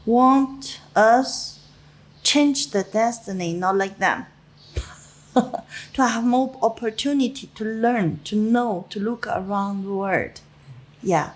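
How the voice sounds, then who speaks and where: A middle-aged Chinese woman, Chinese her native language, speaking English with a Chinese accent, recalling her youth in an interview in halting phrases with many fillers and small laughs. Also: a little high. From China. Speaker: interviewee, winemaker. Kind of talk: personal reminiscence in an interview.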